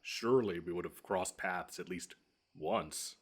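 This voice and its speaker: Audio roleplay monster, male actor: very low voice, smug, taunting, theatrical, menacing but quick to get flustered. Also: husky. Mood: incredulous, haughty. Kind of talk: scripted one-sided audio roleplay.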